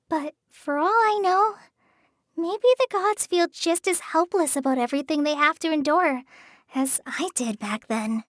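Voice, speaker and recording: A woman's voice: high voice, no room sound, studio quality. A woman voicing a shy, earnest teenage girl in a video game, hesitant and apologetic in the way she talks. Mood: wistful. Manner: hesitant, apologetic, soft-hearted, halting with stammers. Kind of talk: video game character voice lines.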